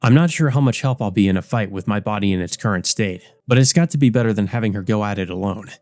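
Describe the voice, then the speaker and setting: A man voicing an earnest, resolute teenage boy from a visual novel, measured and determined. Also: lowish voice, darkish tone, no echo, soft background music, close to an everyday voice. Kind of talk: visual novel character reading.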